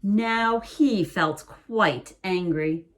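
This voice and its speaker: A woman reading a picture book aloud as a bedtime story, in a slow, soothing, expressive way. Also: medium pitch.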